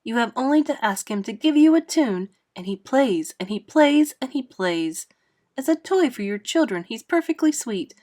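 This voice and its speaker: A woman's voice: on the high side, lively intonation, normal speed, warm tone. A woman voicing a cheerful, polite small boy in a storybook, eager and proud, with a lilting delivery. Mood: showy, eager. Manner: eager, lilting, recites in rhyme.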